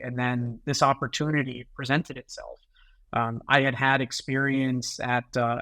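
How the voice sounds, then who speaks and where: A man's voice: mid-range voice, fairly bright tone, dry recording. American man, dry close-miked podcast guest. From United States. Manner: conversational, repeats words and tags sentences with "right?". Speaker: guest, sales executive. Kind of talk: podcast interview answers.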